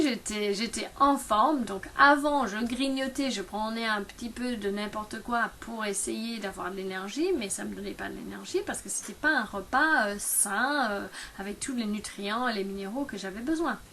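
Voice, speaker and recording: A woman in her fifties talking casually to camera about her own experience, halting often with fillers and restarts. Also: fairly high pitch, fairly bright tone, slight background noise. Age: 50s. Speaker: product distributor.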